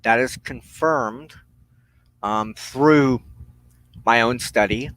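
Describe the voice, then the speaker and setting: Guy doing a reflective solo show, really slow and halting, earnest, mid pitched voice. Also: fairly bright tone, low-quality recording. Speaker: host of a weekly spiritual broadcast. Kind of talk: reflective unscripted monologue.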